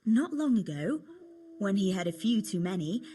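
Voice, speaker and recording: A woman's voice: medium pitch, sing-song, normal speed, warm tone, slight background noise, lightly acted. A young woman playing a cheerful, teasing medieval tavern girl in a video game, chatty and coaxing. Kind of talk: video game cutscene acting.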